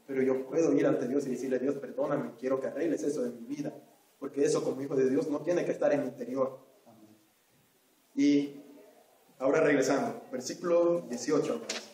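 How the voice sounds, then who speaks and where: A man's voice: medium pitch, steady tone, brisk, mellow. A man from El Salvador with a Salvadoran Spanish accent, teaching an earnest Sunday school lesson and repeating himself as he addresses his brothers. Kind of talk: sermon. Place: church hall. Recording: very echoey hall, voice from far off.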